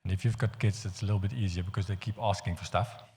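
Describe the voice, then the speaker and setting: A man from the Netherlands with a Dutch accent, preaching a sermon in an earnest, halting way with frequent restarts. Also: low voice, fairly even intonation, darkish tone. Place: church hall. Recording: long hall reverb.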